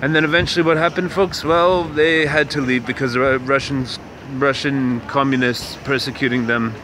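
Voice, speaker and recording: Young male vlog host from Canada, mid-pitch, rambling street monologue, clear city noise behind. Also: steady tone, moderate pace. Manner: rambling, musing, many fillers and restarts. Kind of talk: casual walking vlog monologue.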